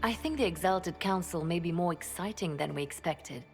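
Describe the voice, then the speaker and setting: An actress voices a composed, devoted woman adviser in a fantasy game. She speaks formally and deliberately in a fairly low voice, over soft music. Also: close to an everyday voice. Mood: calm, wry. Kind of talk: dramatic fantasy game acting.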